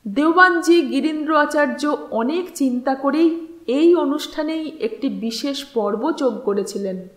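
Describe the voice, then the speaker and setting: High voiced lady reading a novel aloud, really slow and expressive, kinda echoey. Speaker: audiobook narrator. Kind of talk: scripted dramatic storytelling narration of a literary novel. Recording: roomy, echoing voice recording.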